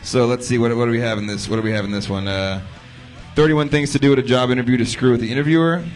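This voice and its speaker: A man's voice: mid-range voice, fairly even intonation, fast, soft background music. A man hosting a radio podcast, chatting casually with his guests and laughing often. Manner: playful, laughs often, upbeat. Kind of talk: casual interview chat.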